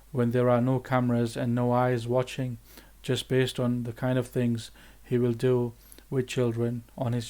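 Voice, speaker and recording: A man reading a grave, accusing commentary voice-over in a measured way. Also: mid-range voice, a little mellow. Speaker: commentary narrator. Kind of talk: scripted exposé voice-over.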